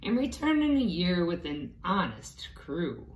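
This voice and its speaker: A woman's voice: fairly low pitch, very animated, unhurried, recorded from a distance. A woman voicing a blustering, decisive male sea captain while reading a children's storybook. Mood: dismissive, decisive. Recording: echoey room with faint background noise.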